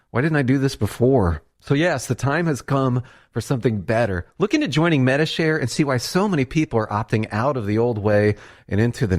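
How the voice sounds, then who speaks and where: A man reading a scripted radio commercial in a conversational, persuasive style. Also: mid-range voice. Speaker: commercial announcer.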